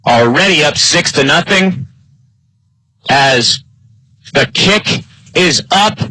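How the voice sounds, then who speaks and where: A man's voice: sharp tone, dry recording, rough recording. An American man working as a play-by-play announcer, delivering live American football commentary. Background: live high school football game broadcast.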